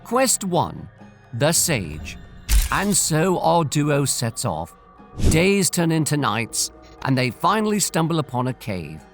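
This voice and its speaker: A man's voice: a little high, wildly swooping pitch, slow, faint music behind. A man telling a scripted story and adding playful, theatrical commentary as host.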